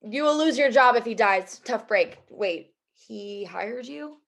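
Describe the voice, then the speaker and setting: Woman acting a wry twenty-something assistant, slangy, dry, voice a bit high. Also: animated, unhurried, gravelly, fairly bright tone, heavily acted. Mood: sarcastic. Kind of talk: screenplay table read acting.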